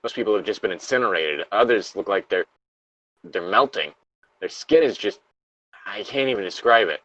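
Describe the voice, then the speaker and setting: A man reading a diary log as a trapped, dying factory worker, halting, weary and anguished. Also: fairly low pitch, expressive, fairly bright tone, dull audio. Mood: horrified. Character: dying, despairing male factory worker trapped under rubble.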